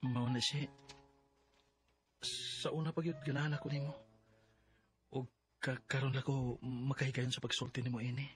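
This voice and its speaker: An actor playing an earnest, insecure young suitor in a Filipino radio drama, with a husky voice and a Bisaya accent. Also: male voice, medium pitch, little pitch movement, normal speed, pauses often, soft background music, close to an everyday voice. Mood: lovesick, earnest.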